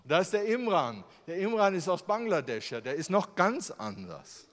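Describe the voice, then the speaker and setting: German man, preacher, animated voice, a bit higher pitch, long hall reverb, rhetorical questions. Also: moderate pace. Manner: conversational, asks rhetorical questions. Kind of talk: sermon with slides.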